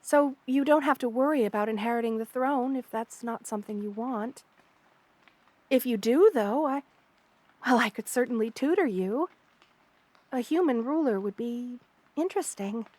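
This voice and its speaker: A woman voicing a kind, motherly spider queen in an ASMR roleplay, soft-spoken, doting and reassuring as she speaks to the listener. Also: a little high, normal speed, lightly acted. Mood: calm, explaining. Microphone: close studio mic, dry.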